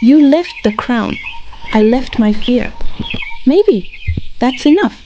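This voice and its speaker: A woman's voice: a little high, animated, slow, warm tone. A woman playing a humble, hesitant young market woman in love, in a folktale drama. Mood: tender, hesitant. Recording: dull sound with faint noise.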